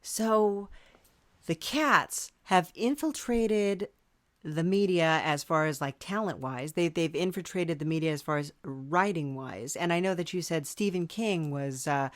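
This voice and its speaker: A woman with a fairly low voice hosts a casual interview podcast. She is playfully indulgent and a bit hesitant. The sound is close and dry. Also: a little slow, no room sound. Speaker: podcast host.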